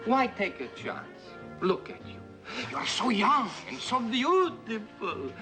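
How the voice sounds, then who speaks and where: A loud reveler, high lively voice, broken English, echoey room, music behind. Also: male voice, slow, full character voice. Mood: tender. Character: boisterous Russian man Igor, playful and romantic.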